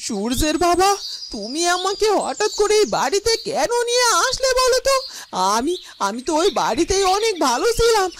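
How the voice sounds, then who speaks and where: A woman's voice: very high-pitched, sing-song, normal speed. A woman voicing an anxious, doting mother who pleads in a hurt way in a melodramatic cartoon. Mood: wistful complaint. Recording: noisy track with chirping birds.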